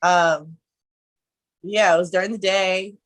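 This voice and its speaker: A woman's voice: lowish voice, fairly even intonation, slow pace. A woman in her twenties from Ohio, animatedly telling a story as a guest in a casual conversation.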